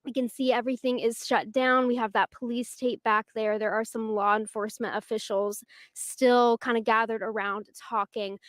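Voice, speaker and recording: A woman's voice: a little high, dry recording, clean studio sound. An American woman giving a conversational live news report from the field. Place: outdoors in a residential street.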